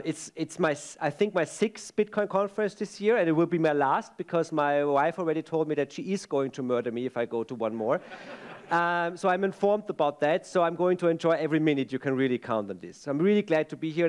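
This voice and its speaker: A middle-aged man with an Austrian German accent, a native German speaker, giving a chatty, self-deprecating conference talk on a stage, full of fillers and restarts. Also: on the high side.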